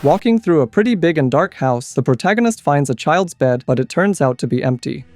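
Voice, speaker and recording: A man's voice: a little high, expressive, a little fast, a little mellow. A man reading scripted explainer commentary as the host of a video essay. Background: background music.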